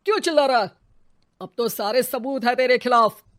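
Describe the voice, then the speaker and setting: Lady doing a angry senior cop from a story, bossy, scolding, highish voice. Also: normal speed, a bit bright, no room sound, studio quality, natural voice. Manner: scolding, commanding. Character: stern, angry senior male police officer.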